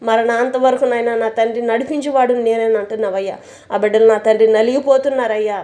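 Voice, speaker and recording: A woman prays aloud in a fervent, pleading stream of repeated invocations. Her voice sits somewhat high yet sounds mellow and warm. She presses on at a rapid clip, with hardly a pause between phrases. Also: fairly even intonation. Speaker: prayer leader.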